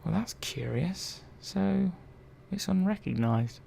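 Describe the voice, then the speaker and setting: A man plays a gently chatty, curious technician who tends to robots in an ASMR roleplay. His soft voice is mellow and heavily fried, and he thinks aloud in an unhurried murmur. A faint noise sits behind him. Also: high-pitched, expressive, vocal fry, full character voice. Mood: curious, puzzled.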